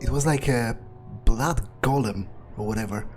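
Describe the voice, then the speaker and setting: A man plays a video game in character, thinking aloud in halting phrases peppered with swearing. His delivery is wry and understated, at a middling pitch, with game music clearly playing behind him. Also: a little mellow. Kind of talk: in-character playthrough commentary, muttered thoughts aloud.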